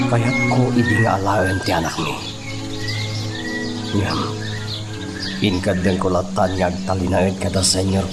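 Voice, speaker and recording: A man with a Filipino accent playing a sorrowful older father in a radio drama, recounting his past in short confessional phrases. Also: deep voice. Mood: wistful, resigned. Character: sorrowful old father recounting lost love and son. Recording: loud music bed behind the voice.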